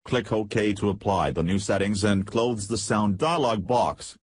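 Low husky man narrating a tutorial. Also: a little slow, no echo. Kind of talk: scripted step-by-step software tutorial narration.

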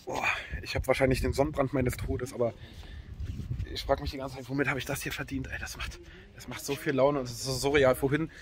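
A man, chatting casually and excitably in slang to a phone camera as a vlogger. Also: medium pitch, fast talker. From Berlin, Germany. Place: outdoors on holiday, by beach, pool and roads. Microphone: phone at arm's length. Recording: noisy outdoor phone recording.

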